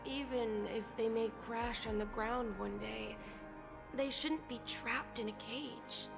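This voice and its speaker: A woman playing a gentle, dreamy young woman in a video game, soft and wistful. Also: fairly high pitch, fairly even intonation, slow pace, creaky, loud music behind the voice. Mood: wistful, tender. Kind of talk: video game character acting.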